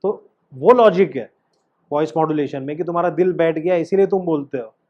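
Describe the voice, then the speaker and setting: A man's voice: fairly high pitch, a little fast, dull audio. A man teaching an acting class as coach, instructive and emphatic, often putting questions to his student.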